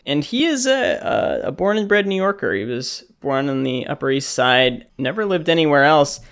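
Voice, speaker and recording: A man's voice: a little high, sing-song, moderate pace, fairly bright tone, clean tone. A man co-hosting a podcast, talking in a wry, joking way and laughing often. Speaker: podcast co-host. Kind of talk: casual chat.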